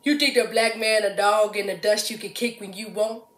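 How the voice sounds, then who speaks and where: A young woman performing a dramatic stage monologue as a proud, stormy, defiant prophet, moving between hushed and loud delivery. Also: mid-range voice, fairly even intonation, natural voice. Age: young adult. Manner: slow, swinging from whispers to loud cries. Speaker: student actor. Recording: echoey room.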